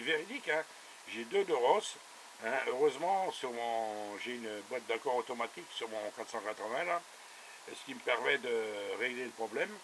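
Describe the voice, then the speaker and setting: A man's voice: medium pitch, sharp tone. A man talking casually as a radio operator over a two-way radio, chatty and full of little verbal tags. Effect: two-way radio transmission. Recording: noisy buzzing radio transmission.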